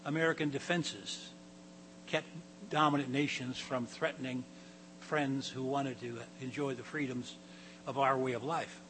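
Raspy older male pastor preaching. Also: medium pitch, fairly even intonation, noisy background. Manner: halting, repeats words, clears throat often. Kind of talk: sermon. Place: church hall.

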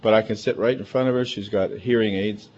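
A man's voice: lowish voice, fairly even intonation, brisk, darkish tone, slight background noise. A man with a big, emphatic voice, preaching a chatty, conversational sermon full of asides. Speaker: pastor. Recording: dull lo-fi recording.

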